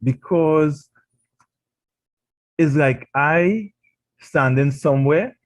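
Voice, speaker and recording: A man's voice: on the high side, extremely slow. A man with a Trinidadian accent, preaching a radio sermon and pressing his points with emphatic rhetorical questions. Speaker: pastor.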